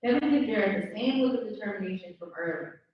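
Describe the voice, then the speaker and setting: A woman reads scripted stage directions aloud as narrator. Her voice is medium in pitch and carries from far off in a very echoey room, with a slightly dull sound. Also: quick pace. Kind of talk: scripted narration at live table read. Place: indoor event room, live stream.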